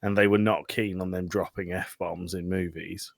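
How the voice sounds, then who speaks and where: A man's voice: deep voice, steady tone, moderate pace, gravelly, no room sound, studio quality. A man hosting a casual film podcast, joking and teasing with frequent swearing. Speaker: podcast host. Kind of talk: casual comedic chat about films.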